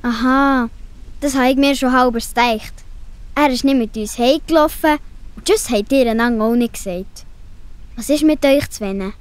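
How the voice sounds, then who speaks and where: A woman's voice: sits high, moderate pace, clear, resonant tone, close to an everyday voice. A woman voicing a caring, perky young schoolgirl with a Swiss German accent in a children's audio play. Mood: concerned.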